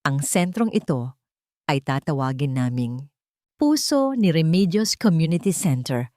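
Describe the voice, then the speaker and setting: A proud, elderly matriarch played by a woman storyteller makes a formal address in an audio story. Her voice is low and stately, dignified and grateful. The sound is dry and close. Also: lively intonation, a little slow, warm tone, dry recording. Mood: stately, resolute. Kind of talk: storybook character dialogue.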